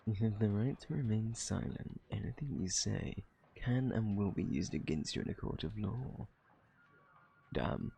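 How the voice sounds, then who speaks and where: A man with a British accent acting out a flirty, cocky thief under interrogation in an ASMR roleplay, teasing and sarcastic in a husky, gravelly drawl. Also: lowish voice, darkish tone, full character voice. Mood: smug, playful. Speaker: audio roleplay performer.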